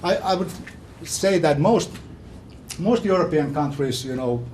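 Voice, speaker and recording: A man with a strong Finnish accent giving an informal academic lecture, haltingly, often repeating words and phrases. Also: fairly high pitch, lively intonation, darkish tone, slight background noise. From Finland. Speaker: visiting scholar giving a lecture.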